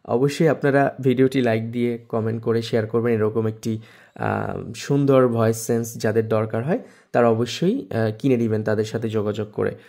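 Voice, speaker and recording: A man's voice: mid-range voice, moderate pace, vocal fry, a little mellow. A man with a Bangladeshi accent hosting a casual tech tutorial and promoting a product. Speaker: tech video host.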